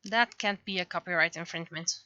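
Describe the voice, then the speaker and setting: Woman, bright forceful voice, casual exasperated streamer rant, animated delivery, close dry mic, slightly muffled audio. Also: on the low side, brisk, clean tone, no echo. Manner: animated, exasperated, acts out imagined exchanges. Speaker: livestreamer, webcomic artist. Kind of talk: casual livestream chat, ranting.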